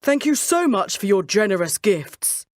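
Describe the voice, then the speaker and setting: A woman's voice: medium pitch, sing-song, measured pace, dry recording, studio quality. A woman voicing a gracious king who is fond of gifts, regal and commanding, in a children's story. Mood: gracious, pleased.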